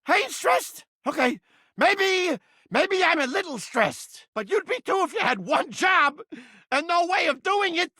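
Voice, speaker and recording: A man's voice: very high-pitched, expressive, measured pace, sharp tone, no room sound, studio quality, exaggerated voice. An actor playing a cranky, manic living mousetrap in a video game, with blustering, streetwise wise-guy talk. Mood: defensive, agitated. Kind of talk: animated video game character acting.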